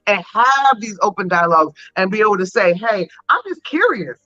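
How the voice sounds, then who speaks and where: A woman with a deep voice, a guest on a podcast, speaking frankly in casual chat. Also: animated, normal speed, sharp tone, faint music behind. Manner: frank, conversational. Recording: dull lo-fi recording.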